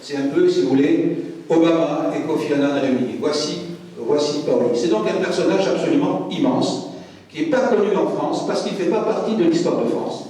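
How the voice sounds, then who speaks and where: Lecturer, male, Corsican first language, mellow voice recorded from afar in a reverberant hall. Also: fairly high pitch, normal speed. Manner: conversational, frequent "hein?" asides. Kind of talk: public history lecture. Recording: echoey hall, mic far off.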